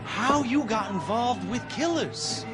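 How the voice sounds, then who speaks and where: An actor playing a cocky, thin-skinned young gangster's son with a New Jersey accent, taunting in a game cutscene. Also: male voice, very high voice, lively intonation, slow, heavily acted. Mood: mocking, menacing. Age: young adult. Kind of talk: confrontational game cutscene dialogue. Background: music.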